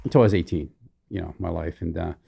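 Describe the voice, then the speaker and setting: A man's voice: deep voice, fast, creaky, mellow, breathy edge. A middle-aged man from Hawaii telling his own story casually as a podcast guest on a studio mic. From Hawaii, born in the Philippines. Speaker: podcast guest, military veteran. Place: small indoor podcast studio. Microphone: studio mic on boom arm.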